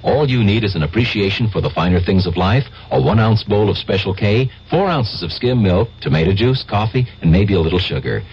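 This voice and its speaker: A man's voice: on the low side, expressive, brisk, few pauses. A man with an American accent reading a conversational radio advertisement as a spokesman. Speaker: commercial spokesman. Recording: dull vintage radio recording, faint hiss.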